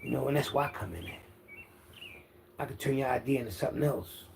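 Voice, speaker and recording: Boastful, gravelly man in his fifties. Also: medium pitch, fast talker, darkish tone. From Philadelphia, United States. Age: 50s. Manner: self-assured, boastful slang-heavy talk. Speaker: internet content creator. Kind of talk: casual rambling street monologue. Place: outdoors, birds chirping behind. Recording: outdoor background noise with birdsong.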